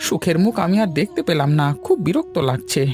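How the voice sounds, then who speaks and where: A man's voice: high-pitched, very animated, fast talker, darkish tone, soft background music. A man voicing a weary, worried middle-aged shopkeeper father in a cartoon, in a plaintive, fretful way. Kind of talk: cartoon dialogue.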